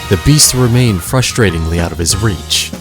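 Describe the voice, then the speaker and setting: A man's voice: low voice, lively intonation, normal speed, music in the background. A man narrating an audio drama in a dramatic, scripted storytelling style.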